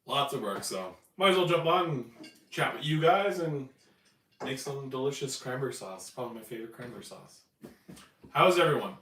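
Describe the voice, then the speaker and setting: A man hosting a live home cooking stream, chatty and upbeat, his voice raspy and cracking after a flu. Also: mid-range voice, lively intonation, normal speed, fairly bright tone. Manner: upbeat, chatty, explains steps as he cooks. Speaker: home cooking channel host. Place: home kitchen. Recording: echoey room, distant mic, faint noise. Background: kitchen clatter, hot plate.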